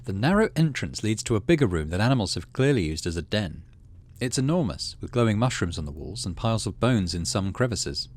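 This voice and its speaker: A man's voice: on the low side, darkish tone. A man hosting a game playthrough, reading story text aloud expressively and adding casual asides. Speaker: gaming video host.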